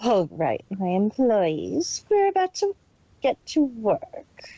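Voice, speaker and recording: A woman's voice: medium pitch, wildly swooping pitch, takes it slowly, mellow, dry recording, dull audio, heavily acted. A woman voicing a cold, detached, imperious female pony villain boss in an amateur story reading. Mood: cold, commanding. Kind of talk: amateur fan fiction audio reading.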